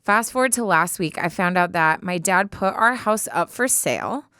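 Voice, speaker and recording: Casting: American female podcast co-host, crisp tone, dry close studio sound, casual and slangy delivery. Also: on the low side, expressive, normal speed, pushed and bright, no room sound. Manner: informal, slangy. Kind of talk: casual banter and reading a listener letter aloud.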